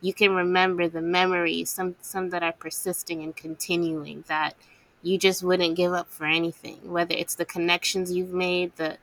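Raspy-voiced woman hosting an interview. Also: on the low side, level tone, normal speed, slight background noise, low-quality recording. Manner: warm, laughing, affirming. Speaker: interview host. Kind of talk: casual interview conversation.

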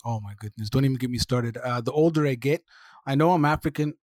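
A man's voice: mid-range voice, fast, darkish tone, no echo. Friendly easygoing guy from somalia cohosting a podcast, mid-range voice, dry sound. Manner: friendly, easygoing, self-reflective. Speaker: podcast co-host. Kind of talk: casual conversational podcast.

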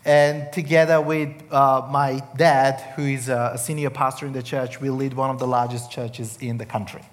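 A man's voice: mid-range voice, level tone, moderate pace. Serbian-Australian accented man in a reverberant hall. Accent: Serbian with an Australian tinge. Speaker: visiting church leader, interview guest. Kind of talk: casual onstage interview with light humor. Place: church stage. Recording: reverberant hall, clearly noisy background.

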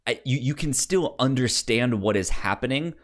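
A man co-hosting a podcast in casual chat, halting and often repeating words as he goes. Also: mid-range voice, lively intonation, a bit bright. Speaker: podcast co-host. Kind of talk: casual movie discussion chat.

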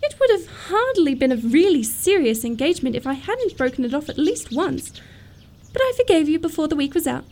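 A woman's voice: high-pitched, sing-song, fairly quick, a little mellow. A woman playing a romantic, self-assured young upper-class lady in a period comedy radio play, precise and sweetly matter-of-fact. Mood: prim, dramatic.

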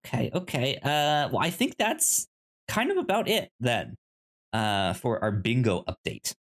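Slow-paced, swooping podcast host. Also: medium pitch, very animated. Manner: playful, halting, thinks aloud. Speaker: podcast co-host running the segments. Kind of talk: casual chat.

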